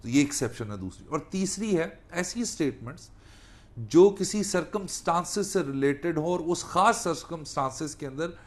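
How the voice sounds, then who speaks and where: Pakistani male law lecturer, slightly high voice. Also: animated, normal speed. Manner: didactic, mixes in English legal terms, restates points. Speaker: law lecturer and defence attorney. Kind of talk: explanatory law lecture to a student listener.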